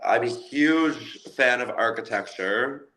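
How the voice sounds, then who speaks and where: A man's voice: mid-range voice, takes it slowly, sharp tone, rough recording. A Canadian man chatting casually as a guest, easygoing and laughing lightly now and then. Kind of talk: casual interview chat.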